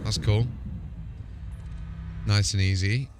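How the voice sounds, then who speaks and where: A man's voice: on the low side, fairly even intonation, slow pace, background noise. A man hosting a gaming livestream, chatting casually in an informal, rambling way. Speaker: game livestream host. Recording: music playing behind the voice. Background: music.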